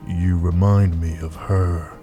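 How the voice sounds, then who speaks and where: A man playing a cold, formal villain in a scripted game parody, speaking in measured, archaic phrases with detached restraint. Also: low-pitched, little pitch movement, warm tone, soft background music. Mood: probing, detached. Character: cold, formal, heartless villain with a lingering will.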